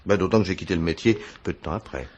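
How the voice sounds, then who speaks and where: An actor playing an uneasy, evasive former private detective in a French radio mystery, hesitant and feigning casualness. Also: male voice, low voice, fast, a little mellow, breathy edge. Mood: falsely casual. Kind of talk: French radio crime drama acting.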